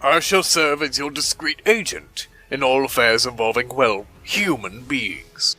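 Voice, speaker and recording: Man acting profit-minded industrialist, bright voice, mid pitch, courtly, wordy, businesslike, comic-dub drama. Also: unhurried, soft background music. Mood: courteous, calculating. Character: smooth-talking, profit-minded gentleman industrialist.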